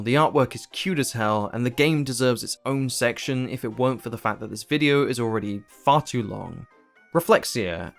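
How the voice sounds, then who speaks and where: Earnest male video essayist over music. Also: medium pitch. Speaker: video essayist, channel host. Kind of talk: scripted video essay narration.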